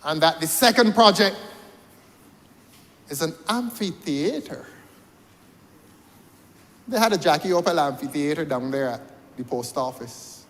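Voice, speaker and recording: High-voiced Barbadian man orating in parliament. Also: expressive, measured pace, pauses often, fairly bright tone, big-room sound. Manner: rhetorical, repeats key phrases for emphasis. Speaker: member of parliament. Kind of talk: parliamentary debate speech. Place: parliament chamber.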